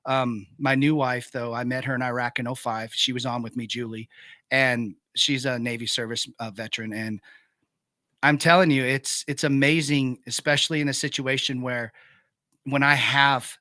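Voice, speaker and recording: A middle-aged man with a bright, forceful tone, talking in a blunt and earnest way and swearing freely. His voice sounds close and dry, with no room around it. He is a guest on a talk radio show. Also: mid-range voice, steady tone, moderate pace, no echo. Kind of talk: candid conversation.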